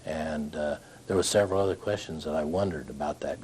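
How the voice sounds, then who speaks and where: An older man casually recalling memories as an interviewee, in a halting, measured way. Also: very deep voice, a little mellow. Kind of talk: casual reminiscence.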